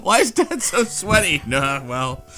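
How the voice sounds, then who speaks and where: A woman's voice: sits low, very animated, a little slow, sharp tone, soft background music. A woman co-hosting a casual podcast, tossing in wry asides and groping for her words. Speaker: podcast co-host. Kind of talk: casual joking chat.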